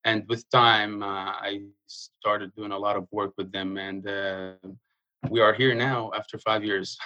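A young man from Jordan, speaking earnestly in a casual interview and pausing often with fillers. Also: fairly low pitch, flat delivery, sharp tone, muffled recording. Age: young adult. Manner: earnest, halting with frequent uh fillers. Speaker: guest.

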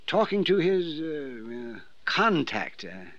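A man's voice: a little high, very animated, takes it slowly, a bit bright, no echo, exaggerated voice. A man playing a weary, genteel elderly uncle, hesitant and courtly, in 1950s radio drama style. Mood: confiding.

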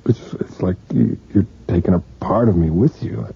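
A man's voice: sits low, normal speed, gravelly, husky, heavily acted. An actor playing an obsessed man chasing a woman who vanished, in a radio drama, urgent and pleading. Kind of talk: 1980s radio drama acting. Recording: dull lo-fi radio broadcast with music.

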